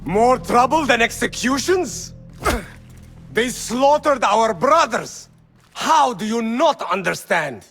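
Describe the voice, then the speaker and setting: An actor voicing a fierce rebel leader who speaks forcefully and curtly. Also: male voice, very high voice, animated, slow, creaky, soft background music, exaggerated voice. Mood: furious. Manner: forceful, curt.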